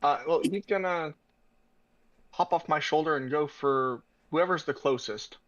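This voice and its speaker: A man's voice: fairly high pitch, measured pace, a bit bright, muffled recording. A man playing a tabletop game, talking casually and tentatively as he works things out aloud.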